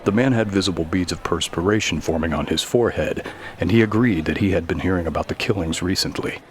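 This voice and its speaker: Low-voiced male story narrator. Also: fast talker. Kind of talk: scripted narration of a mystery story. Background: faint music.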